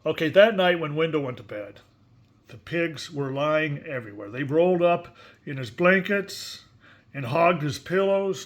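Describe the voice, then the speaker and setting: A man reading a picture book aloud expressively, with casual, chatty asides and questions to a young listener. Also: fairly high pitch. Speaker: story reader.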